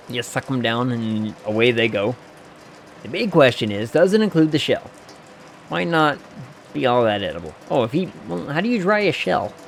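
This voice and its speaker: A man's voice: mid-range voice, slight background noise. A man streaming a video game, rambling and joking in asides to his viewers. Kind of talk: casual let's-play commentary.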